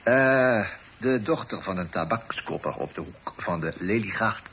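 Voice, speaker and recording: Fussy, obsequious informant, low lively voice. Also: male voice, a little slow. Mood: obliging. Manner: obsequious, hesitant, affectedly formal. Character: deferential, fussy male police informant peppering speech with French. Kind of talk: radio play acting. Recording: faintly noisy old radio recording.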